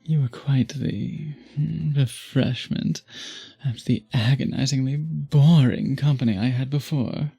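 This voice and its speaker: Male actor as seductive vampire, husky gravelly murmur, breathy, teasing, intimate ASMR roleplay. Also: mid-range voice, measured pace, mellow. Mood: tender, suave. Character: charming, seductive male vampire, flirtatious and predatory.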